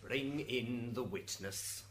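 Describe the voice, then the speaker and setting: A man's voice: medium pitch, slow, a bit bright. A man playing a curt, impatient judge of heaven in an amateur radio drama. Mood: curt, weary. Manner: commanding, curt.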